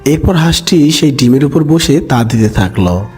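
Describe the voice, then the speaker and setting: A man narrates a children's story in a medium-pitched voice. His scripted storytelling runs over background music. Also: animated, moderate pace, darkish tone, husky.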